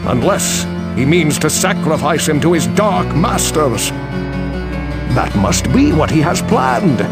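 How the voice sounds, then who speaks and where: Grave old storyteller sage for a fantasy game, highish voice that swoops dramatically, loud music behind. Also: male voice. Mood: urgent, regretful. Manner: theatrical, solemn, portentous. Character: grave elderly male sage and storyteller, urgent, warning. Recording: music under the voice. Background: loud guitar music.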